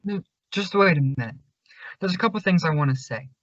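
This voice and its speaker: A man's voice: high voice, animated, a little fast, fairly bright tone, dry recording. An actor playing a suspicious, confrontational young man, insistent and accusing, in an old-time radio suspense drama. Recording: dull, lo-fi audio.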